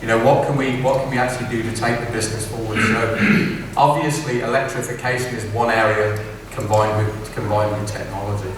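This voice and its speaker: A man's voice: on the low side, fairly even intonation, a little fast. A middle-aged man from England with an English accent, giving a conversational keynote talk at a conference and often asking "right?". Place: large conference hall. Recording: echoey distant hall recording with background noise.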